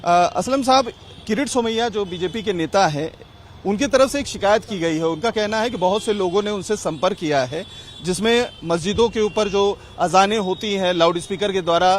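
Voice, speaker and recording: Male TV field reporter, Indian accent, high voice, street vox pops with faint traffic behind. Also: moderate pace. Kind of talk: street vox pop interviewing. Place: outdoors on a city street near a mosque.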